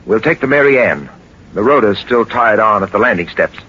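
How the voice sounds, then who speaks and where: An actor playing a suave, commanding gentleman detective in a 1940s radio drama, speaking with assured authority. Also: lowish voice, lively intonation, brisk, breathy edge, heavily acted. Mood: brisk, assured. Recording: noisy, dull 1940s radio recording.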